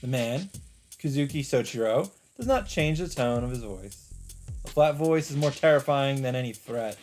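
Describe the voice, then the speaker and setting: A man's voice: medium pitch, expressive, moderate pace, darkish tone. A man hosting a let's play, reading visual novel narration dramatically and adding his own casual asides. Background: background music.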